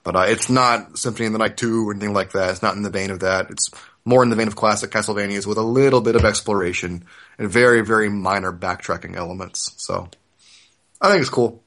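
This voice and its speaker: A man in his thirties with a fairly low-pitched voice hosts a casual podcast. He talks informally and explains things in a conversational way. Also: quick pace, fairly bright tone. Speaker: podcast host.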